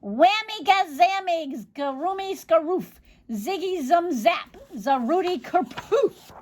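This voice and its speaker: A woman voicing an angry little witch who chants spells in a shrill, spiteful way. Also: animated, a little slow, fairly bright tone, a little hiss or hum, heavily acted. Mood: vengeful.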